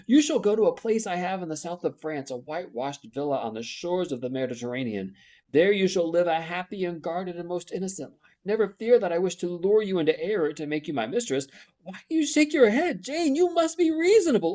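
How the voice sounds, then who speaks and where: A man voicing a stormy impassioned gentleman. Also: a little high, expressive, moderate pace, few pauses, slightly rough, voice pushed up. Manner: impassioned, pleading, rising to loud outbursts. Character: passionate middle-aged gentleman, stormy and pleading. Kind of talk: audiobook character reading.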